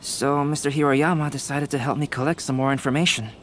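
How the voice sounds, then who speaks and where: A man voicing a calm, composed young male painter in a video game, speaking in a measured way. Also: medium pitch, slight background noise, natural voice. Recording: game audio with faint score.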